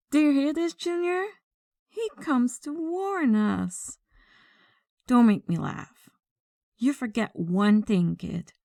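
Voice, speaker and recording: A woman playing a commanding, mocking mafia boss in an ASMR audio drama, speaking deliberately with condescension and quiet menace. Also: medium pitch, vocal fry, dry recording, studio quality. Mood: scornful.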